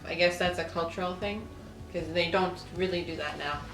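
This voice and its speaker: A woman with a fairly low voice hosts a casual read-along. She chats and reads aloud in a roomy, echoing space. Faint music plays underneath. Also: normal speed, fairly bright tone. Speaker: host of a lifestyle video channel. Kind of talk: casual chat with reading aloud from an old newspaper.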